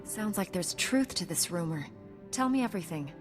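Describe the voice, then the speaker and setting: A woman's voice: fairly low pitch, lively intonation, moderate pace, long reverb tail, over music, natural voice. A woman playing a determined young knight in a video game, direct and businesslike. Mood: serious, guarded.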